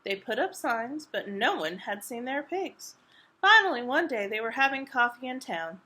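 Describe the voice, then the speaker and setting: Female children's storyteller, high bright voice, expressive picture-book read-aloud for little ones, engages listeners with questions. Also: normal speed. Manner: expressive, asks the young listeners questions as she reads. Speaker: children's librarian reading a storytime.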